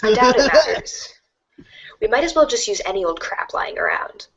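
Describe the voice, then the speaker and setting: Teen girl, acted by a woman: sardonic, brisk, animated pitch, slightly dull recording. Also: a crowd in the background. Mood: brisk, dismissive. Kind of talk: read-along storybook voice acting. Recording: dull lo-fi audio.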